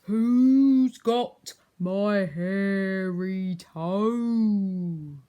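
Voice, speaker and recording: A woman's voice: mid-range voice, lo-fi sound. A woman putting on a wailing, ghostly toe-hunter. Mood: eerie. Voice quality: drawn-out, spooky wail. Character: moaning ghostly creature hunting its lost toe.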